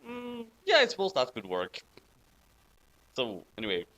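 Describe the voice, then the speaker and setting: A man's voice: medium pitch, wildly swooping pitch, a little slow, bright, forceful tone. A man from Norway hosting a casual commentary on fan fiction, talking in a hesitant, rambling way. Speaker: host of a commentary reading.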